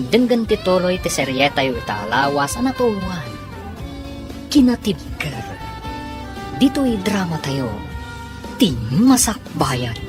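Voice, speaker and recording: A woman announcing and narrating a radio drama in a scripted, theatrical, drawn-out delivery. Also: low voice. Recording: music bed under radio announcing.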